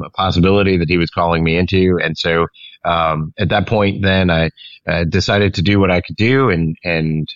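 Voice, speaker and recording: Male pastor as interview guest, low voice, casual halting storyteller, slightly dull sound. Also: dry recording. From raised in the Philippines. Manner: halting, informal, conversational.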